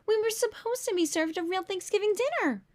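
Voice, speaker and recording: A woman's voice: very high voice, brisk, pitched above the performer's own voice. A woman voicing a bossy, indignant young girl in a storybook, blunt and demanding.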